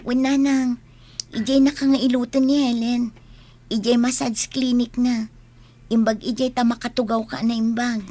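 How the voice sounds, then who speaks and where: A woman's voice: a little high, level tone, normal speed, warm tone, lightly acted. A Filipino woman playing a dutiful, worried daughter in an audio drama, earnest and deferential. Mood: encouraging. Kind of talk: Ilocano audio drama acting.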